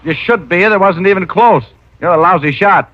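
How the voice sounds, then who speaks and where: A man's voice: high-pitched, normal speed, heavy background noise, natural voice. An actor playing a tough, wisecracking private detective who narrates his case in an old radio drama. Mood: wry, tough. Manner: wisecracking, hardboiled. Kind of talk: 1950s radio drama acting. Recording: muffled old radio recording with music.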